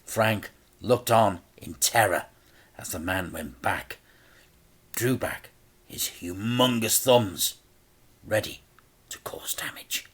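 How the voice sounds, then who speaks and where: A man reading a children's story aloud as an expressive narrator, with theatrical, dramatic delivery. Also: lowish voice, slow, pauses often, slightly rough.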